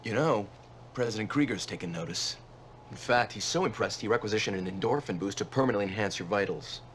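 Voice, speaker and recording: An actor playing an authoritative, serious military commander who mentors a recruit in a scripted game cutscene, speaking firmly. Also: male voice, on the low side, animated, fast, fairly bright tone, slight background noise. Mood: proud, firm. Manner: firm, instructing. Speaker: commanding officer.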